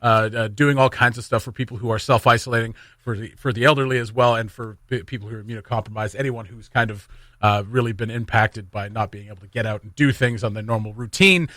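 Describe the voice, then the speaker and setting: A man's voice: medium pitch, steady tone, fast, pushed and bright, dry recording, clean studio sound. A man hosting a morning radio show in an informal, jokey way with casual banter. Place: dry radio studio.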